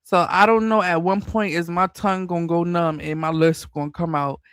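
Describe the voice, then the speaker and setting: Casual male commentator, high-pitched, slight lisp, light stutter, dry mic. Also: level tone, moderate pace, a bit bright, clean tone, no echo. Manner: stutters a little, loose and chatty. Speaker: commentary channel host.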